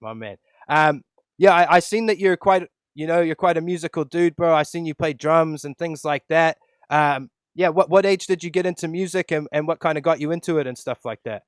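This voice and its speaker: Casting: laid-back American man, podcast host, smooth clear voice, slightly high, dry close sound. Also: fairly quick, no room sound. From Los Angeles, USA. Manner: laid-back, says "bro" constantly. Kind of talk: casual interview over video call. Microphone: video call.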